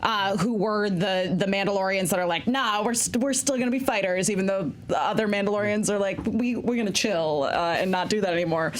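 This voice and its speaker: A woman on a discussion panel, talking through a series in a casual, excited, fan-enthusiastic way. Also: mid-range voice, fairly quick, hardly any pauses, slight background noise.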